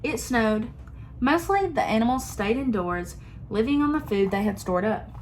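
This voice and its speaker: A young woman teacher reading a picture book aloud to her class in a slow, expressive storytelling manner. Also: a little high, a little hiss or hum, rough recording.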